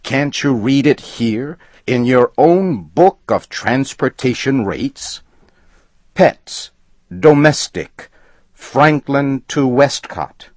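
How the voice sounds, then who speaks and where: A man voicing a furious, indignant gentleman customer who shouts and blusters. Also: mid-range voice, no room sound, pitched above the performer's own voice. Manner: blustering, spelling things out angrily.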